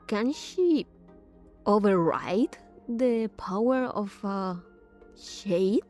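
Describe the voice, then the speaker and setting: A woman giving casual, murmured gaming commentary as a streamer, reacting in short, unhurried asides. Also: medium pitch, animated, darkish tone, faint music behind.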